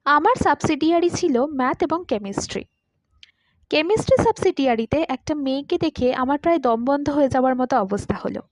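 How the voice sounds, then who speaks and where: A woman's voice: high voice, lively intonation, fairly quick, no room sound, low-quality recording. A woman voicing a courteous, talkative man of about forty who confides his story wistfully in an audiobook. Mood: wistful, confiding. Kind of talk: audiobook character dialogue.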